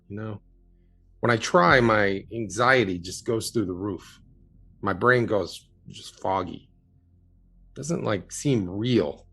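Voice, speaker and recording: A man playing a haunted, earnest UFO witness in an audio drama, telling his story haltingly. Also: on the low side, creaky, a bit bright, husky, heavily acted.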